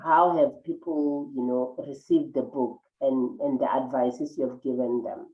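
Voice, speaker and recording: A woman's voice: rumbling low, steady tone. Attentive woman host on dull call. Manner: attentive, halting questions with fillers. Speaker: interview host. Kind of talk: casual interview talk.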